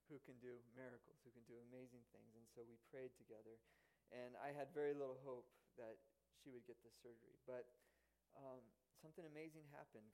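A man telling a personal story in a sermon, earnest and halting, with frequent restarts and fillers. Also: medium pitch, a little mellow, poor audio.

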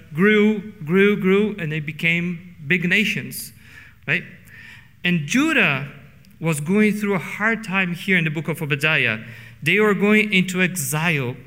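A middle-aged Brazilian pastor preaches in English with a Portuguese accent, drawing out key words for weight. His voice is bright and sits a little high for a man. It rings out with the long reverb of a church hall. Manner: earnest, slows down for emphasis. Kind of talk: sermon. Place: church sanctuary.

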